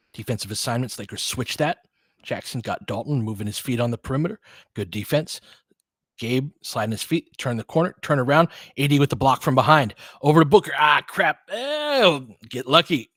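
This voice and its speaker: A man's voice: a little high, pushed and bright, no echo. American man calling basketball casually, dry sound. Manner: informal, chatty asides between clipped play calls. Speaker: fan host doing play-by-play commentary. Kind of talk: casual live basketball commentary.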